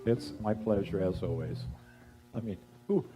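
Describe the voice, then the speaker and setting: An older man hosting a live music show, giving a rambling, halting spoken introduction about music history, pausing to recall details. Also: fairly low pitch. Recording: noticeable background noise and hum.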